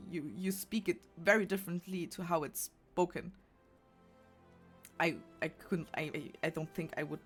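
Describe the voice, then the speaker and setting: A woman's voice: mid-range voice, fairly bright tone. A young woman with a German accent chatting casually on a game stream, rambling and often restarting and correcting herself. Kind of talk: casual chat.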